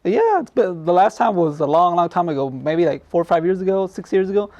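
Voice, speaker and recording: A man's voice: sits high, big pitch swings, fairly quick, a little mellow, highs cut off. A man hosting a comedic talk show in a casual, joking way, often repeating himself.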